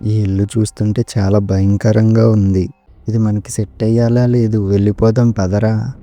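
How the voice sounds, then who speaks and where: A man's voice: on the low side, level tone, normal speed, warm tone, natural voice. A man playing a young guy on a haunted-house dare in a horror cartoon, colloquial and urgent. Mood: nervous. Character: two young male friends on a haunted-house dare, jittery. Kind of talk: horror cartoon story dialogue. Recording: music behind the voice.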